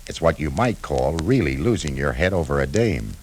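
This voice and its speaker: A very low-pitched American man from 1950s radio drama, a hard-boiled cafe owner. He talks in clipped, understated lines, yet his pitch moves up and down freely. He is tough and terse. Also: lively intonation, normal speed, slight background noise. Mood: wry, hard-boiled.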